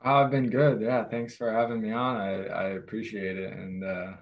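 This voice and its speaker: A young man speaking as a guest on a sports interview, telling his stories casually. His voice sits somewhat low with very little rise and fall. He often hesitates and restarts as he goes. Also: steady tone, normal speed, lo-fi sound. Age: young adult. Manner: hesitant, many fillers and restarts. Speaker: guest, college goalkeeper.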